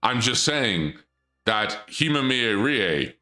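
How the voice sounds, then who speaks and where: A young man streaming and chatting casually, rambling and joking in a theatrical dark-lord persona. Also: mid-range voice, sing-song, slow.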